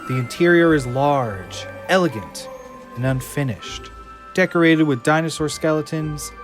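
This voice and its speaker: A man's voice: on the high side, unhurried, faint music behind. A man reading scripted narration as the narrator of an audio drama.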